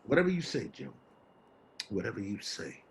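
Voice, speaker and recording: A man's voice: fairly low pitch, very animated, normal speed, creaky, a little hiss or hum. An actor playing a wary, loyal homeless man who challenges and needles, in a stage play reading. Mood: resigned, wary.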